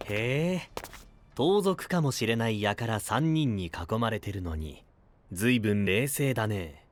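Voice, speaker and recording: An actor playing a friendly, playful young male knight in an anime-style voice drama, smooth-talking and teasing. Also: on the low side, lively intonation, unhurried. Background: music.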